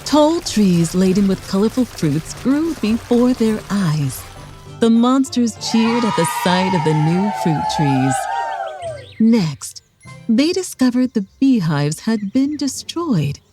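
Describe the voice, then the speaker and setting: Animated woman narrating a children's story. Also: mid-range voice, slow, faint music behind. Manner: expressive read-aloud for young children.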